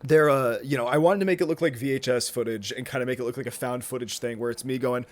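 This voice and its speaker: Guy in his twenties rambling on his podcast, lots of ums and restarts, says dude and bro, mid pitch voice. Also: fairly even intonation, quick pace. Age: 20s. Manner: rambling, self-interrupting, many fillers and restarts. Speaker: podcast host. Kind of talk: rambling solo podcast talk. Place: indoors, with a thunderstorm rolling in outside.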